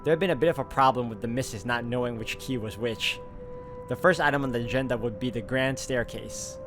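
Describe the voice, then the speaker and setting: A man reading a visual novel's text aloud with casual asides, steady and plain. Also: medium pitch, brisk. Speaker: gameplay narrator. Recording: voice over loud game music.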